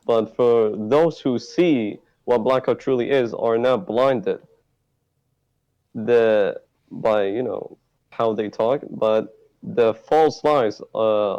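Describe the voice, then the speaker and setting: Dark mellow guy, halting and hunting for words, muffled lo-fi mic. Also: fairly low pitch. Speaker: talk show guest. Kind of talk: in-character roleplay interview.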